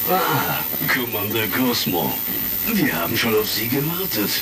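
A man's voice: on the low side, very animated, measured pace. A man playing a genial, joking secret service chief in a retro science-fiction radio drama. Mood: jovial. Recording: crackly vintage record, distant, music behind.

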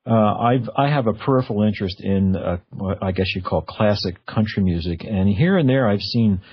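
Low, husky male radio host. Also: a little fast, no room sound. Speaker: radio talk show host. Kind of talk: casual radio interview. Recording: dull, lo-fi radio audio.

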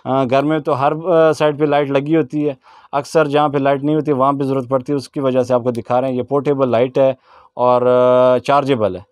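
Man, Pakistani accent, mid-pitched voice, casual vlogger, product showcase, approving, explanatory, indoor shop. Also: little pitch movement, a little fast, a little mellow, smooth, dry recording. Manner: approving, repeats prices and explains features. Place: small shop packed with goods, indoors.

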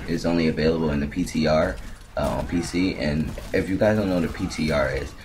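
A man with a very low, deep voice gives casual commentary as the host of a gaming video. There is clear noise behind him. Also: fast, darkish tone. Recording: clearly noisy background.

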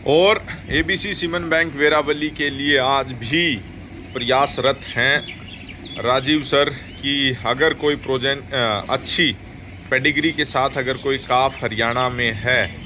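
Formal, measured male farm commentator. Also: medium pitch, steady tone, slight background noise. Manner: formal, measured, with frequent hesitations. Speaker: dairy farming video host. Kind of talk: voice-over commentary on milking footage.

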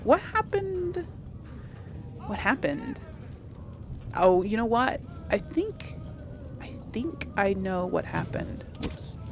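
A woman's voice: medium pitch, slight background noise. A woman hosting a casual role-play game series, thinking aloud and chuckling at her own mistakes. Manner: chatty, thinks aloud, laughs at herself. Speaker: let's play host. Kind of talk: casual gameplay commentary.

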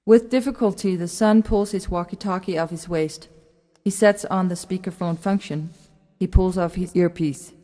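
A woman delivers scripted narration as a narrator of stage directions. Her voice is slightly low and mellow, with a long hall reverb around it. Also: moderate pace.

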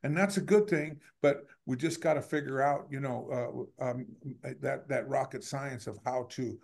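A man talking in a casual video-call interview, halting and repeating words. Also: medium pitch, gravelly, darkish tone.

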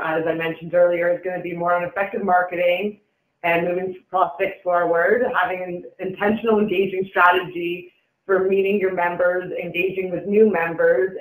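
A man with a high-pitched voice walks listeners through event plans in an informal presenting style. The sound is roomy and echoey, like a conference call from a meeting room. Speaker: event organizer presenting an agenda.

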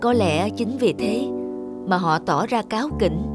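A woman's voice: mid-range voice, animated, measured pace, close to an everyday voice. A woman voicing a patient, kindly young waitress in a cartoon, speaking politely and reassuringly. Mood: thoughtful. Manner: courteous, gently reassuring. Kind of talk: animated cartoon dubbing. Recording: music behind a close dry voice.

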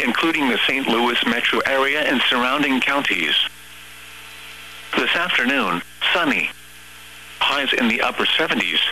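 A synthetic male text-to-speech voice reading weather radio forecasts and observations in a measured, formal, impersonal way. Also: medium pitch, bright, forceful tone, low-quality recording. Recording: weather radio broadcast with hum and static.

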